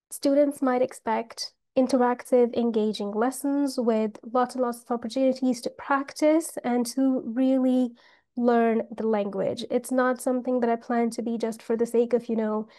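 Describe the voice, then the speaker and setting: A woman's voice: fairly high pitch, warm tone. A young adult woman from Pakistan with a Pakistani accent, a language tutor introducing herself unscripted, earnest and piling up listed phrases with fillers. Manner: earnest, listing phrases one after another, with frequent fillers. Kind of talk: unscripted self-introduction to camera.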